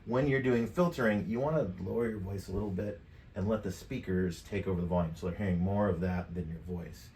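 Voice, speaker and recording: Echoey noisy room, middle-aged man, low voice, informal tutorial, thinking aloud. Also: a little fast, a little mellow. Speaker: tutorial presenter. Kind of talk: casual step-by-step software tutorial. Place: indoors, home studio room at a computer desk. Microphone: USB microphone at some distance from the mouth. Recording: echoey room with clear background noise.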